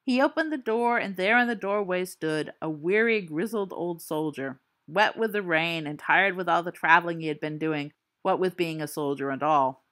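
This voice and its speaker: A woman's voice: on the low side, moderate pace, hardly any pauses, a bit bright, close to an everyday voice. A woman voicing a teenage boy wizard who tells a ghost story with theatrical flair and dry asides. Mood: storytelling. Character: teen boy wizard spinning a spooky tale.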